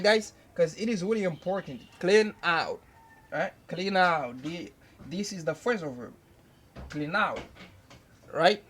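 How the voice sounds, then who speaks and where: A young man teaching an English lesson at a whiteboard, slowly repeating each phrase several times. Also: high voice, a bit bright, slight background noise. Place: indoors at a whiteboard. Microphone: camera close by.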